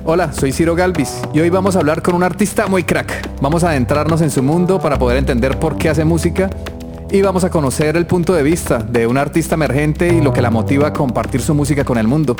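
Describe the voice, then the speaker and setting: A man hosting a music production podcast, asking questions in a relaxed, friendly, chatty way. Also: on the high side, quick pace. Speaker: podcast host. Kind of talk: casual interview.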